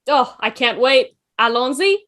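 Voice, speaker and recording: A woman's voice: high-pitched, lively intonation, a little slow, fairly bright tone. A woman playing a breezy, eager, cheerful traveller in a fan table read. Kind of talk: fan table read over video call.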